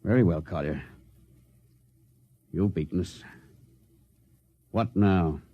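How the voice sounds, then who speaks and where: An actor playing a stern, authoritative spaceship captain in a 1950s radio drama. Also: male voice, low-pitched, slow, lo-fi sound. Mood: defeated. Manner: authoritative, clipped, interrogating. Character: stern, commanding, hot-tempered spaceship captain. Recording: vintage radio recording, faint background noise.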